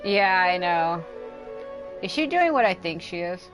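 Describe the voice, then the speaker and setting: A woman's voice: fairly low pitch, sing-song, measured pace, poor audio. A woman casually commenting on a video game as a livestreamer, in informal, slangy talk. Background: game music.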